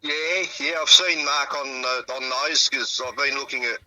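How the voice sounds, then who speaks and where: An Australian man from South Australia, speaking over a phone on speaker and describing what he saw in a halting way, often repeating his words. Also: medium pitch, fairly even intonation, bright, forceful tone, no echo, low-quality recording. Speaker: interviewee, eyewitness. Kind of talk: phone interview.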